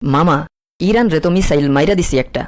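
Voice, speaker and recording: A man's voice: on the high side, fast talker, no room sound, natural voice. A man voicing a whiny, cowardly cartoon politician with a Bangladeshi accent in comic colloquial dialogue. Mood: boastful, confused. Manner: colloquial, whining, pleading. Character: whiny, cowardly, trigger-happy cartoon politician, a nephew sidekick. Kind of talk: comic animated satire dubbing.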